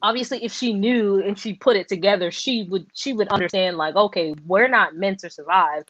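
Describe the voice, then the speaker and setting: A woman chatting casually about a book on a live stream, rambling and animated with lots of fillers and restarts. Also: medium pitch, a little fast, no room sound. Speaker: book discussion co-host. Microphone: video call. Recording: dull, dry lo-fi sound.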